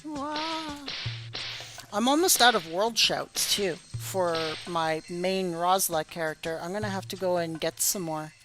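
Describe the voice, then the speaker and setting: A woman streaming a game tutorial in casual chat, her voice going hoarse after hours of talk, rambling and apologetic with many fillers. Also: fairly low pitch, measured pace, a bit bright, faint music behind. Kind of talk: casual live-stream commentary.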